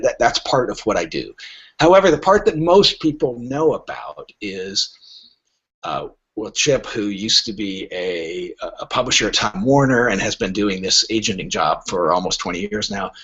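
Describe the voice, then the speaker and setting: A man's voice: lowish voice, fairly bright tone, husky, dull audio. A man in conversational explaining mode as a podcast guest, emphatic, often repeating words as he starts and posing rhetorical questions. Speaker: literary agent, guest.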